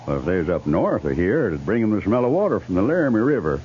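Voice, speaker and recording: An actor playing a plain-spoken, knowing frontier scout in a 1950s western radio drama, with folksy phrasing. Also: male voice, lowish voice, sing-song, fast, mellow. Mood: reasoning. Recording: noisy, dull 1950s radio recording.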